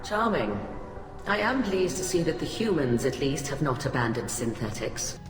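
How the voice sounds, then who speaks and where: Alien woman admiral, deep and measured, coolly fascinated, echoey game scene. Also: expressive, over music. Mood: intrigued. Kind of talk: science fiction video game acting. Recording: echoey hall reverb.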